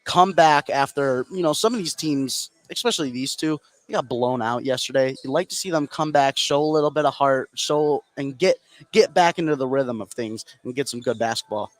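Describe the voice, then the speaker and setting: A man's voice: medium pitch, moderate pace. A man working as a color commentator on a basketball broadcast, giving his opinions in an informal, conversational way. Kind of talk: conversational sports analysis.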